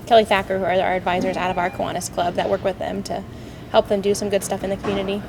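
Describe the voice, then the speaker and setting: A woman appearing as a guest on a local TV interview, upbeat and quick to chime in. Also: mid-range voice, level tone, vocal fry. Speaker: guest, club member. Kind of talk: casual interview talk. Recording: noisy recording.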